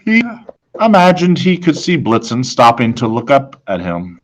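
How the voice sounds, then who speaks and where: A man reading a children's novel aloud expressively, with dramatic, deliberate phrasing. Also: medium pitch, sing-song, low-quality recording. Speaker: story reader, teacher.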